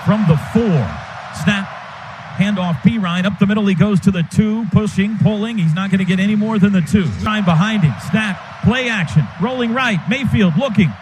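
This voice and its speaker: High-voiced man calling football on radio. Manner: rapid clipped calls that rise to shouts on big plays. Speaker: radio play-by-play announcer. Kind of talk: live radio football play-by-play. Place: stadium radio booth. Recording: radio broadcast with loud crowd noise.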